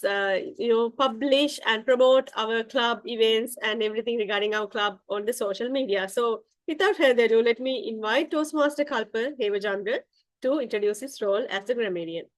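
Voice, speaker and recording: Woman from Sri Lanka, a fairly high voice with a dry, close sound, for a friendly general evaluator who leads an online club meeting. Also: no echo. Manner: friendly, with hesitant fillers.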